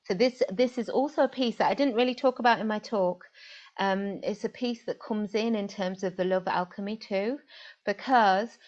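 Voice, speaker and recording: A woman's voice: medium pitch, moderate pace, darkish tone, lo-fi sound. A woman interview guest who is an interfaith minister, explaining in an earnest, emphatic way. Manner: earnest, emphatic, repeats for stress.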